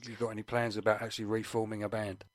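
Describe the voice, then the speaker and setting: A man's voice: fairly low pitch, completely flat delivery, racing, no room sound, studio quality. A man co-hosting a radio show, putting casual interview questions to a guest.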